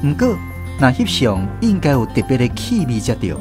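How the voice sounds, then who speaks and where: A man's voice: medium pitch, huge pitch swings, normal speed, over music. A man with a Taiwanese accent playing a grown man who narrates fond memories of his country childhood in a radio drama. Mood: reflective.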